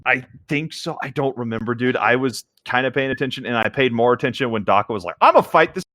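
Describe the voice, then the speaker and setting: A man talking in an animated, informal way in a casual chat. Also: mid-range voice, fast talker, no room sound, studio quality.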